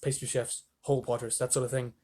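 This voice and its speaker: A man's voice: mid-range voice, level tone, a little fast, mellow, slightly rough, close to an everyday voice. Man voicing a folksy, earnest astronaut. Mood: shaken, relieved. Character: folksy, earnest male astronaut reporting by radio.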